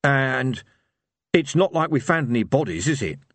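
A man voicing a small, gruff, sentimental villain, a dark lord in an audiobook, with a hoarse voice. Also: medium pitch, very animated, moderate pace, dry recording, voice pushed up. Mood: gruff, moved. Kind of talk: audiobook character voice.

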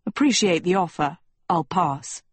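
A woman's voice: on the low side, a little slow, lightly acted. A woman voicing a proud teenage prince in a storybook, scornful and curt.

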